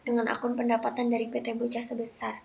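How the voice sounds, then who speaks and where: A woman's voice: fairly high pitch, completely flat delivery, fast talker, vocal fry, a little mellow. A young woman presenting a scripted lesson step by step in a methodical, recited way, like a student presenter. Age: young adult. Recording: noticeable background noise.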